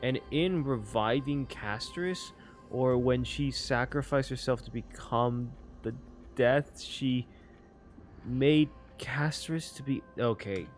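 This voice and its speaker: A man giving casual, expressive live reaction commentary on a game story, swearing as he puzzles aloud. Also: medium pitch, takes it slowly. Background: faint game music.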